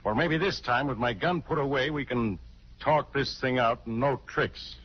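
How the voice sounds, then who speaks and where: Male actor as exasperated cop, medium pitch, blunt interrogator, bossy, vintage radio acting, muffled old recording. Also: normal speed, slight background noise, lightly acted. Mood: accusing. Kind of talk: 1940s radio detective acting.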